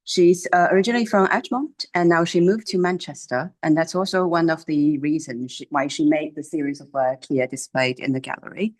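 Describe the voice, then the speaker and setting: A woman's voice: low voice, fairly quick, hardly any pauses, darkish tone. A woman explaining exhibits as a curator, hesitant, with non-native English phrasing. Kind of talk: informal explanatory interview. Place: indoors in a gallery.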